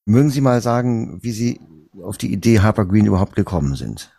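A man interviewing guests in a conversational, somewhat halting way. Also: lowish voice, lively intonation, creaky, warm tone, slightly rough.

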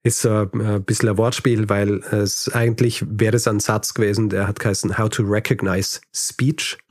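A man's voice: fairly low pitch, little pitch movement, normal speed, no echo, clean studio sound. A man telling a story as a podcast host in a casual, conversational and slightly hesitant way, with small chuckling asides.

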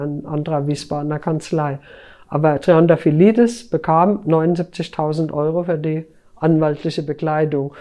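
A woman speaking as an interviewed journalist, explaining things conversationally and sometimes halting mid-sentence. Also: deep voice, dark tone.

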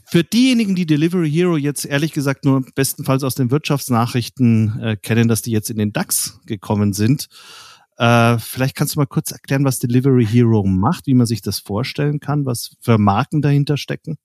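A man's voice: medium pitch, brisk, a little mellow. A man hosting an interview podcast, presenting and asking questions. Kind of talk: scripted introduction, then conversational interview questions.

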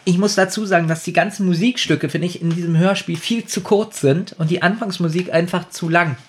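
A man's voice: high-pitched, fairly even intonation, a little fast, a little hiss or hum. A man with a slight cold, co-hosting a casual podcast about audio dramas in a self-deprecating way. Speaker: podcast co-host.

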